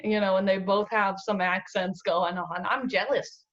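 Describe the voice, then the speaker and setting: A woman's voice: mid-range voice, steady tone, normal speed. Young female guest, faint Southern US accent, rambling and self-deprecating, lo-fi call audio. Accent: slight Southern US. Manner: chatty, self-deprecating, many fillers. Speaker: guest model. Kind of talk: casual interview chat.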